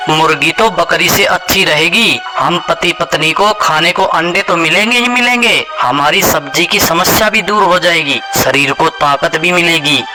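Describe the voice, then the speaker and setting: A simple, trusting villager from a moral tale , played by a man. His voice sits high for a man. Clear music runs behind his storybook dialogue. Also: normal speed, hardly any pauses, close to an everyday voice. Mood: musing.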